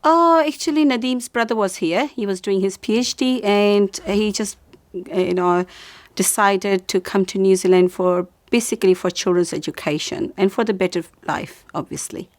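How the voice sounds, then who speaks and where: A woman's voice: mid-range voice, normal speed, dry recording. A middle-aged woman from Pakistan, a preschool teacher, telling her story in an interview in a plain, chatty way. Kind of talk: personal story told to camera.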